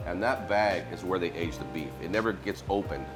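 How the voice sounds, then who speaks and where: Older american guy, chef, really low voice, chatty and easygoing, music under it. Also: fairly quick. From Montana, US. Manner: relaxed, repeats words as he explains. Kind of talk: casual interview. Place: commercial kitchen butchery room, indoors. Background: background music.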